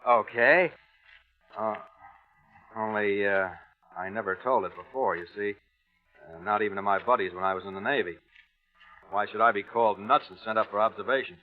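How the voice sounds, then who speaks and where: A man's voice: lowish voice, moderate pace, pauses often, lightly acted. Man acting working-stiff navy veteran, colloquial, cagey, old radio play, tinny narrow sound, music underneath. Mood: cagey, confiding. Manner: colloquial, hesitant, confiding. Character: plain-spoken, cagey American Navy veteran spinning a yarn. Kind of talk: 1940s radio drama acting. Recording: tinny vintage radio recording, music behind.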